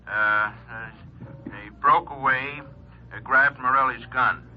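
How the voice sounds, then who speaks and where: A man's voice: mid-range voice, natural voice. Obliging records clerk played by a male actor, mid-range voice, hesitant and full of ums, slightly dull old radio sound. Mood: hesitant, searching. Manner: halting, deliberate, full of ums while reading. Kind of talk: 1950s radio drama acting. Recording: dull 1950s radio broadcast.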